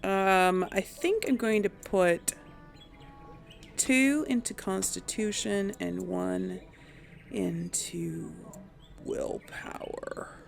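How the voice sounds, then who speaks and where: Female let's play narrator, casual, slow-paced, thinking aloud, creaky edge to the voice. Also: mid-range voice. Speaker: game streamer narrating a role play.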